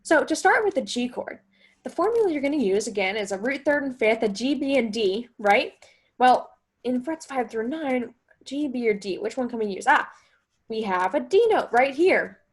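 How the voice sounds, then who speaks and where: A woman's voice: a little high, animated, normal speed, a crowd in the background, background noise. A woman guitar teacher explaining step by step in a chatty, think-aloud way, as in an instructional music lesson.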